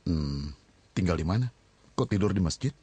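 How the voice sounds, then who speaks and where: A man playing a reserved, quietly kind mosque-goer in an audio drama, speaking politely and softly with a husky voice. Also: sits low, expressive, normal speed, no room sound. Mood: calm, polite.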